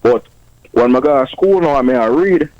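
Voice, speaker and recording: A man's voice: medium pitch, moderate pace, dry recording. Jamaican man, dry and dull-sounding recording. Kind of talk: casual chat on a radio show.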